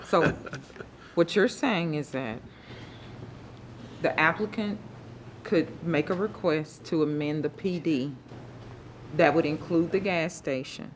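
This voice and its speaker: Commissioner, woman, animated, informal, faint hiss. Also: low-pitched, slow pace, long pauses. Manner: animated, informal, uses y'all. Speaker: planning commission member. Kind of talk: public meeting discussion. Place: city council chamber.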